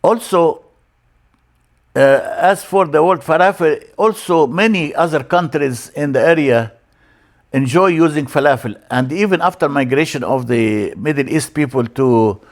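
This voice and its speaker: An older man from Egypt, a native Arabic speaker with an Egyptian accent, teaching in an informal lecture style with a halting, deliberate delivery. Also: fairly high pitch. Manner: halting, explanatory, with deliberate word-by-word emphasis. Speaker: church language teacher.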